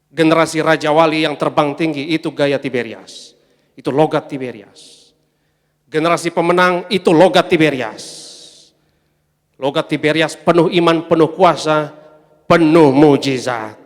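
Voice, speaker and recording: A man preaching a sermon as pastor, emphatic, swelling loud on his refrains and calling the congregation to say amen. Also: fairly high pitch, moderate pace. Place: large church hall. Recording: long church-hall reverb.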